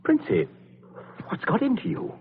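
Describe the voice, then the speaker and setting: Bluff English captain, very animated. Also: male voice, sits high, unhurried, gravelly, full character voice. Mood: alarmed. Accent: British. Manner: clipped, hearty, blustering. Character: bluff, breezy English captain, jilted and blustering neighbour. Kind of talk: old-time radio suspense acting. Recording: scratchy, tinny old radio recording.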